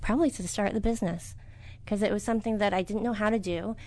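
Brief: middle-aged woman, business owner, interviewee; soft-spoken, halting, lots of ums. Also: mid-range voice, fairly even intonation. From United States. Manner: soft-spoken, hesitant with many fillers. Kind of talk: interview answers.

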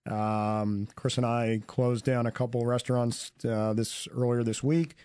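Male distributor guest, a bit raspy, warm dark tone, dry studio. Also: mid-range voice, fairly even intonation, no echo. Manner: lists places in quick run. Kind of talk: casual talk radio chat.

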